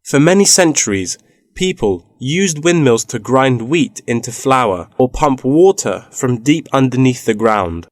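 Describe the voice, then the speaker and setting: A man's voice: medium pitch, lively intonation, unhurried, dry recording, close to an everyday voice. A young man playing an earnest university student in a scripted dialogue, eagerly explaining his research. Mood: earnest, explanatory. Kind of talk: scripted listening-test dialogue.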